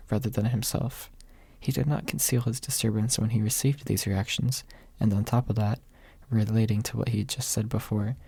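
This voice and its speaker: A man reading a story softly as scripted ASMR narration, in a hushed, measured way with a husky, breathy voice. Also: medium pitch, dry recording. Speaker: audiobook reader.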